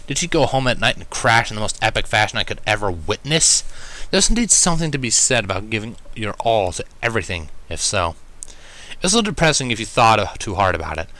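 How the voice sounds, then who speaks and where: A man reading fan fiction aloud as an expressive first-person story narration. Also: fairly low pitch, fast.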